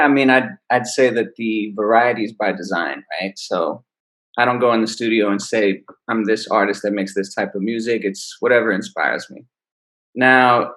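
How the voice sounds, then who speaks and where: A man, an R&B singer, answering interview questions in a relaxed, easygoing way. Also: lowish voice, poor audio. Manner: relaxed, laid-back explaining. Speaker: R&B singer, guest. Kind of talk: casual interview.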